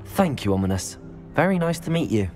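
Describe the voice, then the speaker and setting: Earnest teenage boy, well-spoken, courteous, animated pitch, game cutscene, light music. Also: a little fast. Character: polite, earnest teenage boy, new wizard student. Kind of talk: video game cutscene acting.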